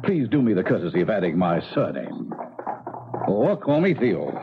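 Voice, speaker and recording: An actor playing a haughty, coldly menacing eighteenth-century gentleman in a 1970s radio drama, with pompous, formal diction. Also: sits low, huge pitch swings, normal speed, narrow, tinny audio, exaggerated voice. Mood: cold indignation. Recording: noisy, band-limited 1970s radio broadcast.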